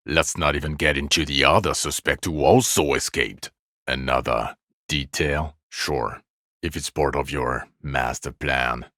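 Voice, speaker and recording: Very deep, bitter detective. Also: male voice, pushed and bright, no room sound, clean studio sound, heavily acted. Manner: scornful, biting sarcasm. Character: bitter, exasperated, sarcastic male police detective. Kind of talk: video game voice acting.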